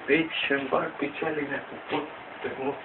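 A man in his thirties from Romania rambles haltingly through a casual, improvised exercise demonstration at home, swearing mildly. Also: on the high side, flat delivery. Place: small room at home. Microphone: webcam mic at a distance. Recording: tinny noisy webcam audio, distant mic.

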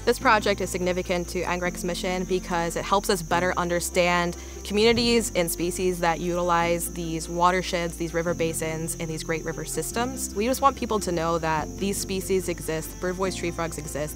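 A woman's voice: fairly low pitch, a little fast, hardly any pauses. A woman in her thirties explaining her field research in an earnest, enthusiastic way, as in a documentary interview. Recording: music bed under voice.

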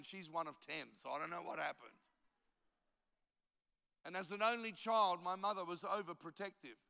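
A man's voice: sits high, sharp tone, smooth, in a large hall. A man in his seventies, a pastor raised in Australia by Italian parents, preaching a conference sermon with self-deprecating stories and emphatic exhortation. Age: 70s.

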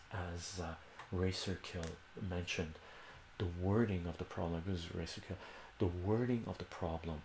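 A man's voice: low voice, creaky, a little mellow, echoey room, a little hiss or hum. An older man whose first language is Armenian, hosting a casual livestream from a home room, rambling and correcting himself as he answers viewers' questions. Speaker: livestream host.

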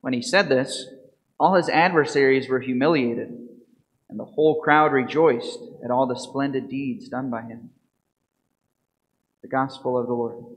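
Calm priest preaching in a reverberant church. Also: male voice, mid-range voice. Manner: calm, measured preaching with rhetorical questions. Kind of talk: gospel reading and homily. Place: church sanctuary at a lectern. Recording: reverberant church from a lectern mic.

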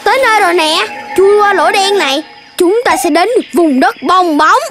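Very high-pitched little cartoon puppy. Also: female voice, normal speed. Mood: proud, cheerful. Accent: Southern Vietnamese. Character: young cartoon puppy, sensible little know-it-all. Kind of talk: children's cartoon dubbing. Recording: music behind the voice.

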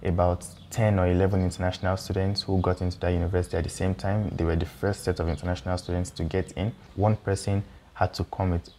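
A man's voice: low-pitched, little pitch movement, fast, background noise. A young Nigerian man in his twenties with a Nigerian accent, presenting an explainer video for international students in a conversational way, with fillers and reassuring asides. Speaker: YouTube educator for international students. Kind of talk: explainer video walking through slide topics. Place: indoor room with a pool table and windows.

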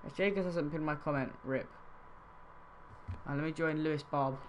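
A man's voice: on the high side, normal speed, a little hiss or hum. Chatty young male game streamer. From Wales. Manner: casual, chatty, reads chat aloud. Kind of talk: live game-trading stream chat.

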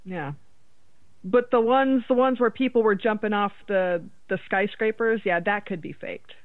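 A woman's voice: medium pitch, normal speed, dry recording. A woman co-hosting a podcast in casual chat. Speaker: podcast co-host. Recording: narrow, tinny phone-like line.

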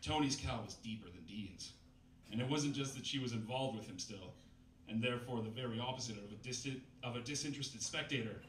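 A man's voice: medium pitch, a little fast, a bit bright. Guy in his 30s reading overwrought prose, mock serious, dry asides, picked up from far off in an echoey bistro. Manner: mock-dramatic reading, wry interjections. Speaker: reader at a comedy reading night. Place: small bistro stage with live audience. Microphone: stand mic, filmed from far camera. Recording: roomy, distant camera audio, faint noise.

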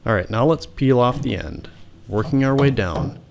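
A man's voice: mid-range voice, expressive, moderate pace, gravelly, noisy background. A man hosting a home repair tutorial, talking plainly step by step as he explains the work. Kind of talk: how-to narration and muttering while working.

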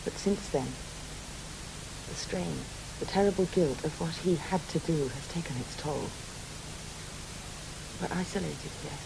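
An actor playing a strained, haunted recluse who fears being stared at, halting, in a hissy radio drama recording. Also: male voice, fairly high pitch, creaky. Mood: anguished, brooding.

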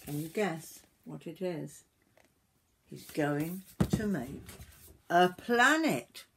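A woman in her sixties reading a picture book aloud to young children like a grandmother, expressively, with drawn-out words and little asides to the listener. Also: deep voice, slight background noise. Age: 60s. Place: small wooden summerhouse.

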